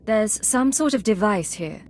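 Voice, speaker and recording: A woman's voice: medium pitch, expressive, unhurried, slight background noise, natural voice. A woman playing a cool, resolute Imperial intelligence agent in a video game, speaking in a composed, clipped and stern way. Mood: businesslike. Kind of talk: scripted video game dialogue.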